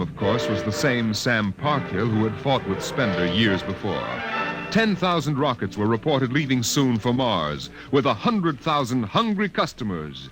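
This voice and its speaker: A man narrating a scripted story dramatically, in a measured literary storytelling manner. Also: mid-range voice.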